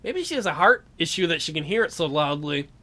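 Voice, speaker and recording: Female let's-play host: sarcastic, casual, lively swings in pitch, music underneath. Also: fairly quick. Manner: joking, sarcastic asides. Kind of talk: casual gameplay commentary and dramatic reading.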